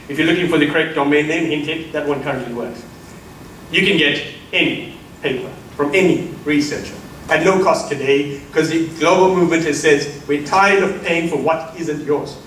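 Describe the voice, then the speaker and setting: A man's voice: a little high, fairly bright tone, slight background noise. A middle-aged South African man giving an emphatic keynote lecture, addressing the audience as colleagues. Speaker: keynote speaker. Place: lecture hall. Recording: roomy echo from far mic, faint ambience.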